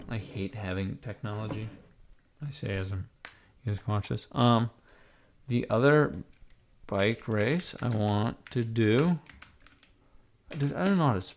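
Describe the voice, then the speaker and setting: Gravelly-voiced man rambling to his chat. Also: lowish voice, a little hiss or hum. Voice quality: raspy, gravelly. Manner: rambling, swearing, talks to chat while typing. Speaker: livestreamer. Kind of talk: rambling casual livestream chat. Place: at his computer.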